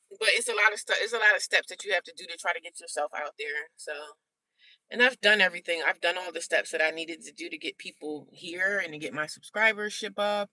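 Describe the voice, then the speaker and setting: A woman's voice: fairly low pitch, sharp tone, poor audio. An American woman chatting casually to viewers as a livestream host, informal and often repeating phrases for emphasis.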